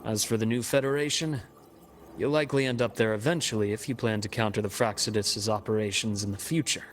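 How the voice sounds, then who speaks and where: A man voicing a composed, analytical investigator in a game, speaking in a measured, explanatory way. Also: on the low side, fairly even intonation, soft background music, natural voice. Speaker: game character. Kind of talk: game cutscene voice acting.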